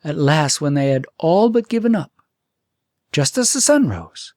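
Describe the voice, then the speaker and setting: A man narrating a fairytale audiobook in an expressive, deliberate storytelling manner. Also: on the high side, dry recording, studio quality.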